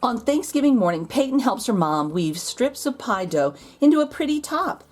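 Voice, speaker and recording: A woman's voice: a little high, sing-song, normal speed, darkish tone, soft background music. A woman host reading a children's storybook aloud expressively, drawing out key words for emphasis. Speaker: children's picture book read-aloud host.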